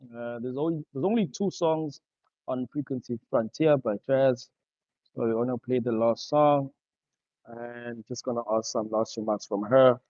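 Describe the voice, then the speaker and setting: A man hosting a live online music show, upbeat, giving shout-outs and introducing the next songs. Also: mid-range voice, a little slow, no echo, lo-fi sound. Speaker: show host.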